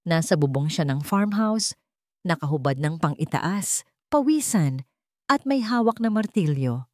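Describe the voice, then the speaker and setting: A Filipino woman reads scripted romantic fiction as a storyteller. Her voice sits fairly low, and the sound is dry, with almost no room around it. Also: lively intonation, measured pace, a little mellow, no room sound.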